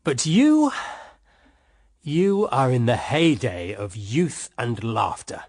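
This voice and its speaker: Weary, husky British husband. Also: male voice, medium pitch, big pitch swings, takes it slowly, darkish tone, full character voice. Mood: bitter, despairing. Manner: wry, world-weary, affectionate. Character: weary, embittered husband, tender toward his young sister-in-law. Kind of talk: radio drama acting.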